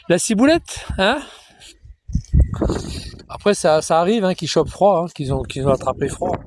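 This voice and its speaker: A man talking casually outdoors in a cow pasture, rambling and repetitive, cooing to the cows now and then while filming on his phone. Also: noisy background. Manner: chatty, repetitive, coos to the cows in a high sing-song voice. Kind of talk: casual rambling talk to camera.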